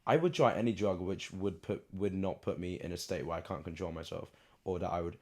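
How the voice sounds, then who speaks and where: A young man in casual podcast banter, teasing and quick with a joke. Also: low voice, a little mellow. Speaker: podcast co-host. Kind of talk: casual chat.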